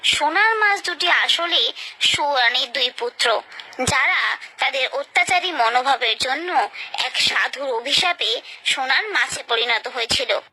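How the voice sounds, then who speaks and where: A woman's voice: high-pitched, expressive, measured pace, pushed and bright, a little hiss or hum, poor audio. A woman narrating a scripted children's story, expressively and directly addressing her young listeners.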